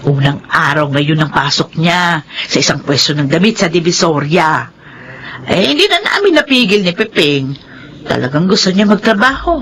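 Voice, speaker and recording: A woman's voice: on the low side, expressive, normal speed, fairly bright tone, a little hiss or hum. A woman playing a kindly, older foster mother in a radio drama, homely and easily flustered. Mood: matter-of-fact.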